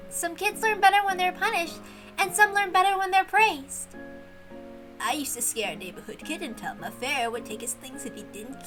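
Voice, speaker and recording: A woman voicing an amused, kindly older female servant reminiscing in an expressive visual novel read-aloud. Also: very high-pitched. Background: music.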